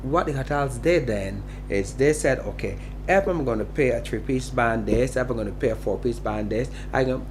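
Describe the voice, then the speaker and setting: A man, a hotel band musician, recounting events haltingly in casual conversation. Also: medium pitch, background noise. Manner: recounting, halting with many fillers.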